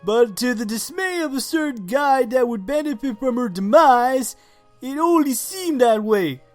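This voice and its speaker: Measured storybook narrator, a man. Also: very high voice, expressive, soft background music. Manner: measured, fairy-tale storytelling.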